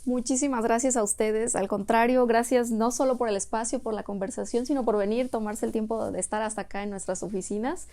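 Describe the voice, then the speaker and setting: Woman agency head, earnest explainer, mellow tone, pitched a bit high. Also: steady tone, a little fast, few pauses. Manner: earnest, explanatory, frequent tag questions. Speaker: UN refugee agency office head. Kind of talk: interview answers. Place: office.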